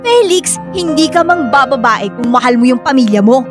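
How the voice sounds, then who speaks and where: High-voiced, clingy, confrontational mistress. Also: female voice, expressive, moderate pace, music in the background. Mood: hurt, accusing. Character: clingy, confrontational young mistress demanding commitment. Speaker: radio drama actress. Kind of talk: emotional Filipino radio drama acting.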